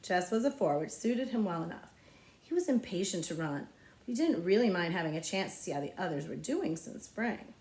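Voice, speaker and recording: A woman narrating a children's novel aloud as a librarian would, with expressive storytelling. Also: fairly low pitch, fairly quick. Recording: echoey, roomy sound.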